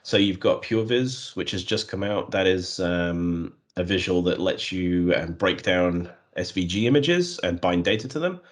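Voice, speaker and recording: A man in a conversational interview, speaking in a flat, monotonous way with many fillers and restarts. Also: low-pitched, normal speed, few pauses. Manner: self-described flat, monotonous voice. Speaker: guest, custom visual developer.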